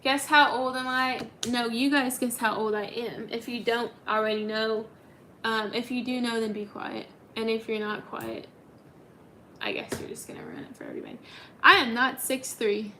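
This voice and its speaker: A woman's voice: a little high, normal speed, sharp tone, slight background noise. A woman in her twenties hosting a casual livestream, chatting and answering viewer comments with lots of ums. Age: 20s. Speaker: livestream host.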